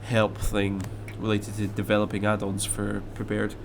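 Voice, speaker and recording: A man's voice: fairly low pitch, completely flat delivery, moderate pace, gravelly, background noise. A young Scottish man with a slight English mix to his accent, chatting casually on a live stream and reading out viewer questions, rambling with lots of fillers and restarts. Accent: Scottish, mixed with English. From Scotland. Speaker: flight sim live streamer.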